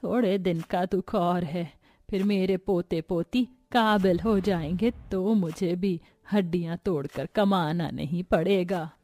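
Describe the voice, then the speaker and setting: Woman playing a slow-spoken, weary poor grandmother. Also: on the low side, mellow, no echo, natural voice. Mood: pleading, weary. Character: poor, weary seventy-five-year-old grandmother, humble and hardworking. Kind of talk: Hindi cartoon story voice acting.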